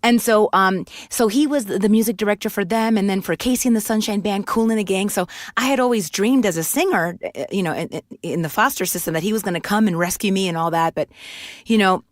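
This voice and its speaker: A woman's voice: medium pitch, quick pace, no room sound, studio quality. A woman in her forties from Puerto Rico, telling her life story candidly in a casual interview, with many restarts. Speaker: guest voice actor and singer.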